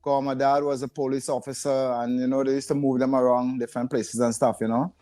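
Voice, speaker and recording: A man's voice: medium pitch, level tone, fast talker, warm tone. An older man from Trinidad with a Trinidadian accent, telling stories in a casual, conversational way as an interview guest. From San Fernando, south Trinidad. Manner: chuckles often, conversational reminiscing. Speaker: podcast guest, retired rehabilitation director.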